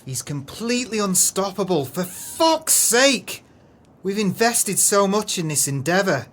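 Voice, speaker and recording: A man's voice: high-pitched, very animated, unhurried, fairly bright tone, full character voice. A man playing a haughty, easily exasperated crooked businessman in an audio play, speaking in a pompous, florid way. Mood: frustrated fury.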